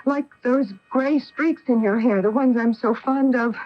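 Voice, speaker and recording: An actress playing a cautious, protective astronaut wife in a radio drama, with an earnest, dramatic delivery. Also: female voice, on the high side, unhurried, natural voice. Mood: shaken, concerned. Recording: noisy, muffled AM radio broadcast recording.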